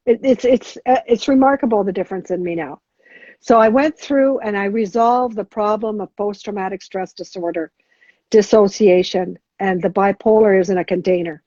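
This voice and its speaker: A middle-aged woman answering as a guest on a podcast interview, talking haltingly with many restarts and fillers. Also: mid-range voice, a little mellow, no echo. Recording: dull lo-fi sound.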